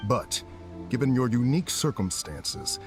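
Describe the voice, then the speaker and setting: An actor playing a stern officer in a video game, speaking in a formal, dry, official manner. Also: male voice, medium pitch, animated, unhurried, music in the background, natural voice. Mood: measured.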